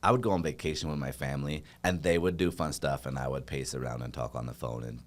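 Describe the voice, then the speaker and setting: A man in his forties with a deep voice, a real estate team leader talking as a podcast guest in a jovial, rambling way. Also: fairly even intonation, fast talker. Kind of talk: casual podcast conversation.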